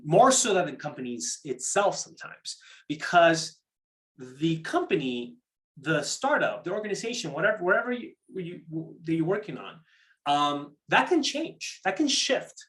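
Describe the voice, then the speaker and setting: Male workshop presenter, somewhat high-pitched, conversational and explanatory, with repeated words, restarts and frequent check-in tags. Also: sing-song, normal speed, fairly bright tone. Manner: conversational, seeks agreement with tag questions. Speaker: program manager presenting a workshop. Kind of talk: interactive online workshop talk on pitching.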